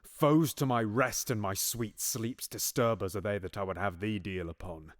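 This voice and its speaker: A man's voice: on the low side, moderate pace, natural voice. An actor playing a scheming, paranoid usurping king in a Shakespearean audio drama, swinging between menacing whispers and sudden outbursts.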